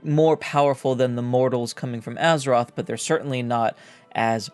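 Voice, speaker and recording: A male gaming commentator with a medium pitch talks informally to his viewers. He explains lore in a halting, think-aloud manner, with music playing behind his voice. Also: fairly even intonation, darkish tone, clean tone. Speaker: gaming video host, commentator. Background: background music.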